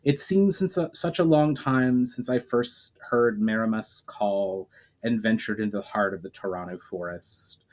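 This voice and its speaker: A weary but resolute male hero lays out his case with deliberate earnestness, as a man reads his lines. It comes from a read-aloud fantasy novel. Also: on the low side, a little mellow, lightly acted.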